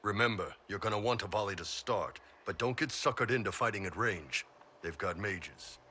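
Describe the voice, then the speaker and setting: Deep husky giant mercenary captain. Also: male voice, moderate pace, sharp tone. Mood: commanding. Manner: blunt, folksy, commanding. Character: gruff, easygoing horned giant mercenary captain. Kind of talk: fantasy video game acting.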